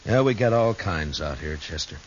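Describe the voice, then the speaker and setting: A very low-voiced American frontier marshal, stern and steady, speaking with laconic authority. It is old radio western drama, on a dull recording with light hiss. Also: male voice, moderate pace. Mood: quietly kind. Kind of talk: 1950s radio western acting.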